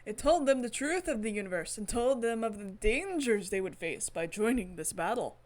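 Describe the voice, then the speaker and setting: A woman's voice: a little high, lively intonation, normal speed. A woman hosting a game stream, reading story text aloud dramatically with giggly, enthusiastic asides. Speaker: gaming channel host streaming a visual novel.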